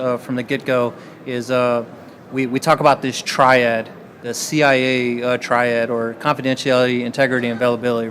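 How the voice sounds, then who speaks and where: A man from Texas answers a panel question in a mid-range voice. He halts and restarts often, and a faint noise sits under the recording. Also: mid-range voice. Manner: halting, many fillers and restarts.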